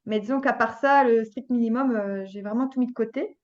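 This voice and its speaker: A woman's voice: a little high, brisk, highs cut off. A woman in her thirties telling a personal story as a podcast guest, hesitant, with many fillers and restarts. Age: 30s. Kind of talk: personal story, casual chat.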